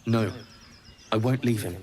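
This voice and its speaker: An actor voicing a grave game warrior who speaks slowly and solemnly. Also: male voice, fairly low pitch, darkish tone, slight background noise, lightly acted. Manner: slow, solemn.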